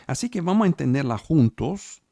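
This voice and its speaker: Gravelly, animated preacher teaching online. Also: male voice, on the high side, normal speed, dry recording, clean studio sound. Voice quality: speaks Spanish as a second language. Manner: emphatic teaching, repeats words, checks in with listeners. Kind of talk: online sermon and Bible study. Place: home, online service. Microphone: video call.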